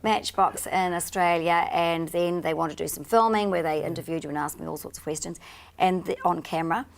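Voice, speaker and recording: A self-assured woman, chatting as a talk-show guest. Her voice sits a little low, and she talks freely and laughs easily at her own lines. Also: fairly even intonation, a little fast, gravelly. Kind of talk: casual chat interview.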